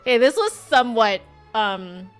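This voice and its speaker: A woman's voice: a little high, sing-song, a little slow, clean tone. A woman giving casual live game commentary as a streamer, chatty and rambling. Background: game music.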